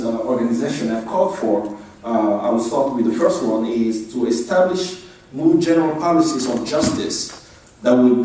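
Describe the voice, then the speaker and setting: A man giving a formal briefing as an advocacy speaker, going through his points one by one in a measured way. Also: mid-range voice, warm tone. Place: indoor meeting room. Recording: echoey room, distant microphone.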